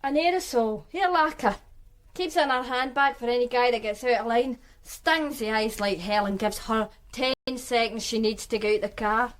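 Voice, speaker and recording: An actress plays a guarded, streetwise Glasgow woman with a broad Glaswegian accent in a radio play. Her voice sits a little high, and she answers questions in a dry, sardonic, plainspoken way that gives little away. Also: normal speed, natural voice. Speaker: witness, flatmate of the victim. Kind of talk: radio drama acting.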